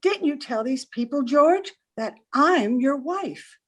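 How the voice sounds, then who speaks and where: A woman's voice: high-pitched, animated, takes it slowly. A woman playing a brassy, slangy hired woman posing as a wronged wife in an old-time radio comedy. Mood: accusing. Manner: slangy, brash, blunt. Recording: amateur group video-call recording.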